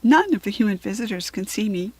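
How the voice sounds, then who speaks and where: A woman's voice: medium pitch, expressive, fast, darkish tone, breathy edge, no echo, poor audio. A woman with an American accent voicing a kindly, eager female zebra fairy in a children's storybook. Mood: proud, friendly.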